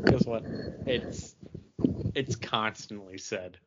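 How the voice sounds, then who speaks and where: A man's voice: lowish voice, takes it slowly, very noisy. A man co-hosting a podcast, speaking in casual, bantering chat about geek culture. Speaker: podcast co-host. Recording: dull, lo-fi podcast audio.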